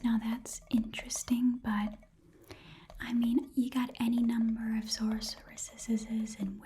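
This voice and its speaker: A woman acts out a shifty, chatty goblin shopkeeper in a whispered ASMR roleplay. The voice is breathy and very mellow, rambling and repeating little phrases as it haggles. It is close on the microphone, with clear hiss behind it. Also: fairly high pitch, lightly acted.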